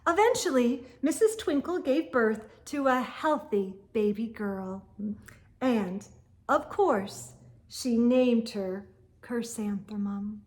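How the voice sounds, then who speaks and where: A woman hosts storytime in a high, very animated voice. She narrates slowly and dramatically, shaping each phrase deliberately for young listeners. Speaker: storytime host and reader. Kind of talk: expressive children's read-aloud narration.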